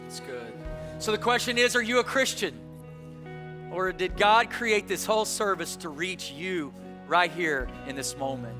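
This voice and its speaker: A pastor preaching an Easter sermon, energetic and full of rhetorical questions that call the listeners to respond. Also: male voice, sits high, measured pace. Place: large church auditorium. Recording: reverberant hall with faint music.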